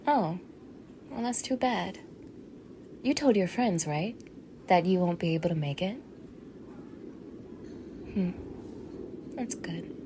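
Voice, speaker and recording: A woman's voice: lowish voice, expressive, slow, pauses often, noisy background. A woman acting in an intimate audio roleplay as a teasing, fake-friendly young neighbour with obsessive menace under her casual, coaxing talk. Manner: casual, coaxing, teasing, with small laughs and hushed asides.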